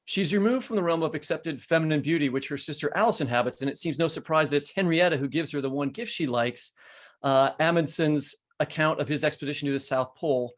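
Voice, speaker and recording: A man's voice: on the high side, fast. A man co-hosting a literary podcast, asking questions and commenting in a conversational way. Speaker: podcast co-host.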